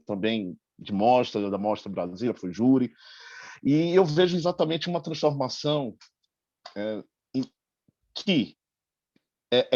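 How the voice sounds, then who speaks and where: A Brazilian man from Salvador giving spontaneous, discursive panel commentary, halting as he searches for words. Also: mid-range voice, darkish tone, no room sound, lo-fi sound. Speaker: film festival organizer and filmmaker on a panel.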